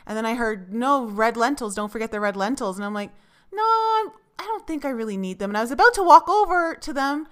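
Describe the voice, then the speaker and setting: A woman co-hosting a show, telling a casual anecdote in an animated manner. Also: a little high, a little fast. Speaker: radio show co-host. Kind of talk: casual storytelling.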